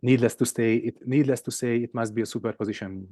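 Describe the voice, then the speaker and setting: A man's voice: medium pitch, fairly even intonation, quick pace, warm tone, no echo, clean studio sound. A man with a Hungarian accent, a native Hungarian speaker, patiently explaining game puzzles informally and rephrasing as he goes. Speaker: game teacher and presenter.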